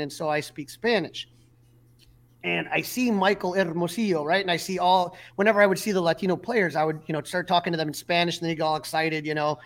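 A man co-hosting a sports podcast, his voice sitting a little high for a man. He rambles through stories and game recaps in a loose, filler-heavy way. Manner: storytelling, lots of fillers. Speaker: podcast co-host. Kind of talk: casual sports chat.

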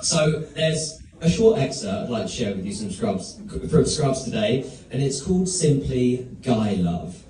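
A man's voice: mid-range voice, very animated, unhurried, few pauses, warm tone. A man from England giving a self-conscious best man's speech at a wedding, recorded in an echoing room. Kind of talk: wedding toast. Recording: echoey hall, distant microphone.

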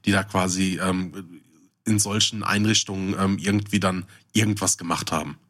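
A man's voice: low voice, fairly even intonation, moderate pace, a bit bright. A man chatting casually as the co-host of a podcast. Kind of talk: casual chat.

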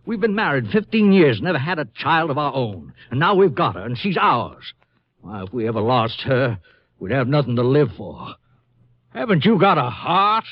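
A man's voice: mid-range voice, sing-song, no room sound. An actor playing a desperate, protective car dealer and father, tense and indignant, in 1950s radio drama style. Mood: desperate, pleading. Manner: tense, indignant, halting when evasive. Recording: dull vintage radio recording.

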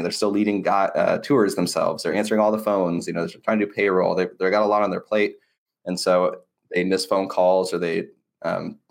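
A man being interviewed as an agency owner, explaining his work in an earnest, casual, conversational way. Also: low-pitched.

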